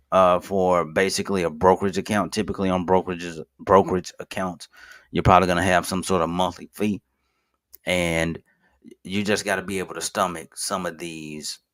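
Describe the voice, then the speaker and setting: A man in his thirties with a very low, deep voice talks casually through a finance walkthrough. He rambles, restarts his sentences and corrects his own numbers. He speaks informally and keeps addressing his viewers as "guys". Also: fairly bright tone. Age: 30s. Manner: rambling, self-correcting, with frequent fillers and restarts. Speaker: finance video host. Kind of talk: casual screen-share commentary.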